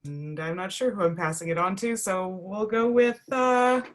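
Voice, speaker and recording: A woman's voice: mid-range voice, normal speed, soft background music. Casual woman doctoral student. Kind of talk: self-introduction. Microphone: video call.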